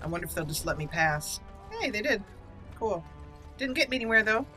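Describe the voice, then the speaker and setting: A woman's voice: mid-range voice, expressive, fairly quick, sharp tone, low-quality recording. A woman hosting a casual role-play let's play, thinking aloud playfully and slipping into in-character lines. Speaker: gaming channel host, role-player. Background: faint game music.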